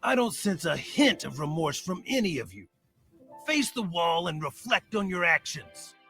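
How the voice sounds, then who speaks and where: Cranky old teacher in a game, gravelly, bossy and exasperated, music under it. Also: male voice, on the high side, very animated, moderate pace, bright, forceful tone, full character voice. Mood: stern. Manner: scolding, authoritative. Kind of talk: video game voice acting.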